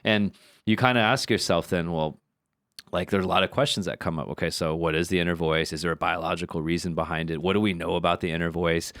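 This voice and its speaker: A very low-pitched man talks casually as a podcast co-host, working through his thoughts with frequent fillers and repeated words. The sound is dry and close, with no room around it. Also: steady tone, fast talker, fairly bright tone, dry recording. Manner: thoughtful, builds ideas with many fillers and repeats.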